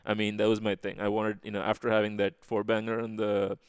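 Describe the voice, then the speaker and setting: A man with an Indian accent talks casually as an interview guest. His voice sits low with a fry to it, and the sound is close and dry. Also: completely flat delivery, fairly quick, no echo. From Chennai, India. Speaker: podcast guest.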